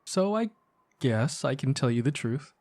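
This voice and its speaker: An earnest young male best friend played by a man in an ASMR roleplay. He speaks at an average male pitch with casual, hesitant phrasing, in an intimate, soft-hearted way, as if to one close listener. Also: sing-song, a little slow. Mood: hesitant, sincere.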